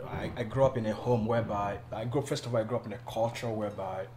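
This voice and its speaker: A man's voice: on the low side, fairly even intonation, a crowd in the background. Thoughtful man from Cameroon, echoey room. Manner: measured, explanatory, with restarts. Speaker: panel guest. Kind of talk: casual panel discussion.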